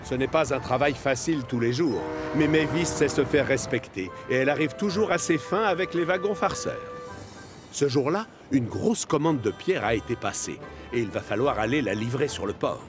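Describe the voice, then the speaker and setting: A man narrating a children's cartoon in a measured, clearly enunciated storytelling style. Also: mid-range voice, lightly acted. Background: music and train sounds.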